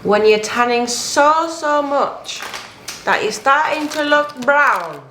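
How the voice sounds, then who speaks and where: A woman in a group discussion, speaking deliberately and repeating words for emphasis. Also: high voice, animated. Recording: echoey room, clear background noise.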